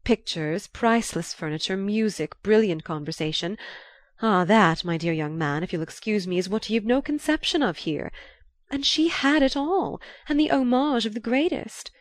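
A woman voicing an effusive, theatrical older marchioness in an audiobook, with a sighing, drawn-out, confiding delivery. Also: medium pitch, expressive, no room sound, clean studio sound, close to an everyday voice. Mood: rapturous, dramatic.

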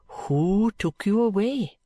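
A woman voicing an earnest, patient gentleman in an audiobook, with a gentle, deliberate, coaxing manner. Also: medium pitch, animated, no room sound, voice pushed up.

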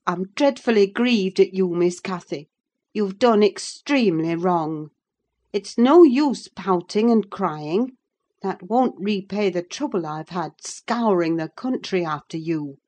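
A woman's voice: medium pitch, lively intonation, a little slow, darkish tone, pitched above the performer's own voice. A woman playing a stern middle-aged housekeeper who scolds a runaway girl. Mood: scolding. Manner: scolding, firm.

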